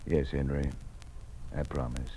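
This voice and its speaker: An actor playing a grave, kindly older university dean, measured and formal, in 1950s radio drama style. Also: male voice, a bass voice, flat delivery, mellow. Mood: reassuring. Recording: noisy old radio recording.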